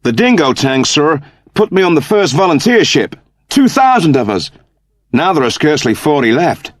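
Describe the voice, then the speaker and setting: A man's voice: on the high side, sing-song, moderate pace, no room sound, pitched above the performer's own voice. Rebel leader male narrator acting: grave, formal, commanding, intense, dry studio audiobook delivery. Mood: solemn. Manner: solemn, formal, declamatory.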